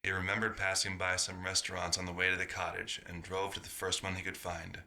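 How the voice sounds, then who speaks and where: A man's voice: low voice, monotone, a little fast, bright, forceful tone, exaggerated voice. A man narrating a scripted story in the third person.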